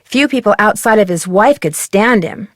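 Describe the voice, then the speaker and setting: A woman's voice: medium pitch, animated, moderate pace, dry recording, studio quality, close to an everyday voice. A woman playing an earnest, inquisitive young amateur detective in a video game. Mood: explaining, earnest. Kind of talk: scripted game dialogue.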